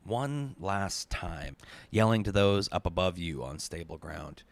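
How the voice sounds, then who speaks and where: A man narrating a dramatic spoken-word piece in an intense, deliberate, emotive way with weighted pauses. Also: low voice, expressive, gravelly, dry recording. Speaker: narrator, essayist. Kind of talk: dramatic spoken-word storytelling in second person.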